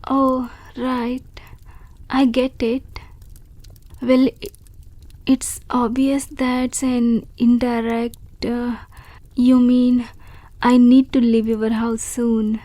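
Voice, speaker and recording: A bashful young wolf creature, played by a woman, talks slowly and gently in a raised, mellow voice. She is polite and hesitant and often stumbles over her words. The sound is close and dry. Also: a little high, little pitch movement, dry recording. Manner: soft, polite and hesitant, with stammers. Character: shy grateful young female wolf creature. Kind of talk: audio roleplay.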